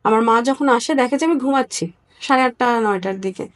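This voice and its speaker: A woman from Bangladesh giving a personal account as an interview guest, candid and somewhat halting. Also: fairly high pitch.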